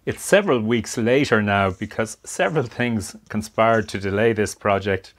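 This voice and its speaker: A man giving unscripted hands-on narration at a workbench, explaining things step by step in a measured way. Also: lowish voice, a bit bright. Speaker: hobbyist presenter of a radio restoration. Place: indoor workshop at a workbench.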